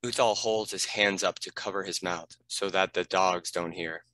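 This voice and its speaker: A man's voice: deep voice, fairly even intonation, moderate pace, bright, forceful tone. A man giving scripted narration, reading out the stage directions of a screenplay.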